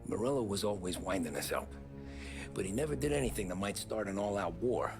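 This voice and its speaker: A man's voice: lowish voice, expressive, brisk, natural voice. An actor playing a weary 1930s gangster who recounts his criminal past in plain, slangy, matter-of-fact words for a video game. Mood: reflective, measured. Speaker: narrating lead character. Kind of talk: dramatic video game acting. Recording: music behind the voice.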